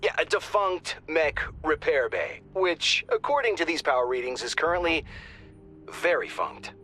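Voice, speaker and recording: An actor playing a joking squad handler on comms in a game, wry and lively in delivery. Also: male voice, fairly high pitch, normal speed, soft background music. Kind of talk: game cutscene voice acting.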